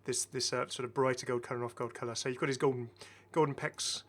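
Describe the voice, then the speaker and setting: A man's voice: medium pitch, steady tone, quick pace, a bit bright. A man giving casual, enthusiastic game commentary as a chatty, rambling video host.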